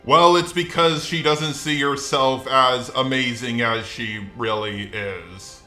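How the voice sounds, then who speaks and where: Bright, echoey robotic male commentator. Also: medium pitch, steady tone, slow, faint music behind. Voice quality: synthetic robot-like text-to-speech voice. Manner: sarcastic ranting. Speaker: video commentator. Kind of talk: scripted opinionated commentary.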